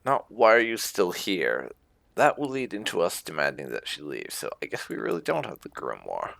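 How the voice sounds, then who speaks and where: A man's voice: sits low, wildly swooping pitch, normal speed, creaky, a bit bright, dry recording. A man hosting a video game playthrough with casual commentary, musing to himself as he goes.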